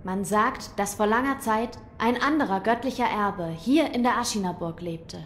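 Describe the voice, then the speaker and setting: A woman's voice: normal speed, natural voice. A woman in an audio drama playing an earnest, resolute young noble boy with a grave, formal way of speaking. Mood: solemn. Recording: long hall reverb, faint rumble.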